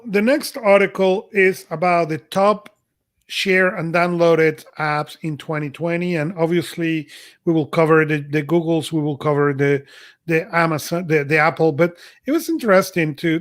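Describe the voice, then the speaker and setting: A man presents gadget news on a podcast, eager and a little halting. His English is slightly broken. His voice sits fairly high for a man. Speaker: podcast co-host. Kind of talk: casual tech news chat.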